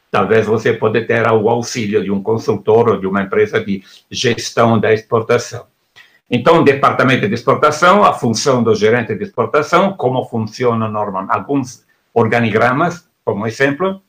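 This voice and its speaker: Gravelly middle-aged man explaining at length. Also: mid-range voice, fairly bright tone. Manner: didactic, lists topics in bursts. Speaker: author and export consultant. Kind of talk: explaining his book in an interview.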